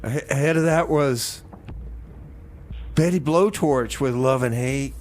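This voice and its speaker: A man's voice: mid-range voice, slow, a little mellow, a little hiss or hum. A man hosting a music radio show, speaking in a hesitant, weary way. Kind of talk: music show back-announcing mixed with comedy skit chat.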